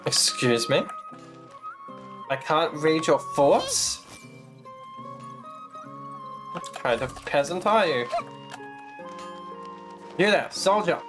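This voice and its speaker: A man's voice: fairly high pitch, lively intonation, slow pace, long pauses, over music, low-quality recording. A man giving casual gameplay commentary as a streamer, chatting back at the game's characters. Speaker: game streamer.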